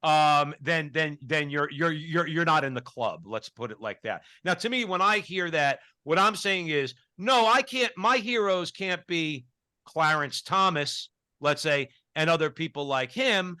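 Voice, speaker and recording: A man's voice: a little high, unhurried, sharp tone, clear, resonant tone, dry recording. A male co-host of a talk show, speaking emphatically and often repeating words as he starts a point. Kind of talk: casual talk show discussion.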